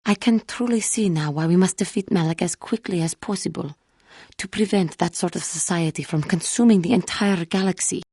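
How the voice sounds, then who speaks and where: A woman playing a wounded, earnest female alien Jedi in a video game, speaking in formal, measured phrases. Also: lowish voice, a little mellow, slightly rough, no room sound, clean studio sound. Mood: troubled, grave. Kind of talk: scripted video game character dialogue.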